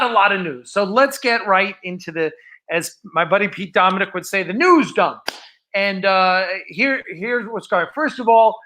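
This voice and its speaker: A man's voice: sits high, bright, forceful tone. A man hosting a casual livestream, chatting in a rambling, informal way. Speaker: host of a fan production livestream. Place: film set of a starship bridge.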